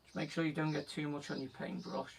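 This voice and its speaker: A man with a croaky voice casually explaining each step of a painting as he works. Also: on the high side, a little fast. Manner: relaxed, talks while working, frequent "so" and "just gonna". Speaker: painting instructor on a live stream. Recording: voice from a distance from the mic, faint background noise.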